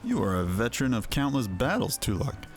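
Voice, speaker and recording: A man voicing a stately, encouraging comrade in a fantasy game, formal and measured in manner. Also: mid-range voice, sing-song, soft background music. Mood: calm, assuring. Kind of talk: voiced game dialogue.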